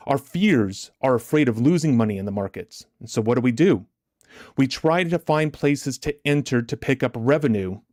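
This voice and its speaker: A man hosting a livestream as a motivational trading coach, deliberate and emphatic, his chest a little congested from a recent illness. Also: medium pitch, animated, a little mellow, dry recording, clean studio sound. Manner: deliberate, emphatic, repetitive rhetorical questions. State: chesty, getting over bronchitis, clearing throat.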